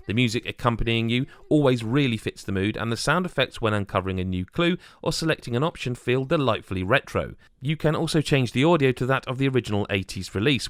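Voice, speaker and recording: A man's voice: mid-range voice, no room sound, clean studio sound. A man reading a scripted video game review as the reviewer.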